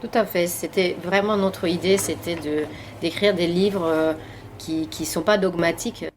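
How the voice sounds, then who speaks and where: A woman's voice: fairly low pitch, fairly even intonation, unhurried, slight background noise. A woman in her forties from Finland with a Finnish accent, speaking French as a second language, answering questions at a library talk with many fillers and restarts. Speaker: interviewed author and journalist. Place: library meeting room.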